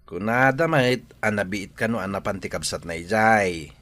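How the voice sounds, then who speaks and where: A man's voice: on the low side, moderate pace, a bit bright, natural voice. A man playing an eager, ingratiating would-be gardener in an Ilocano radio drama.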